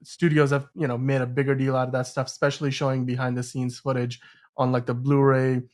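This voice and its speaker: A man's voice: medium pitch, level tone, fairly quick, a little mellow. Man hosting a casual film podcast. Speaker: podcast host. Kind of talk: casual film news discussion.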